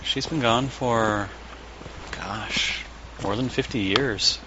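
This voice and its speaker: A man's voice: lowish voice, takes it slowly. A man acting as a blunt, sardonic therapist with a dry, probing way of talking in an audio drama. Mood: reflective. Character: blunt, sardonic, unorthodox therapist man, older than he looks. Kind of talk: audio drama dialogue and dictated case notes. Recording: muffled with loud background noise.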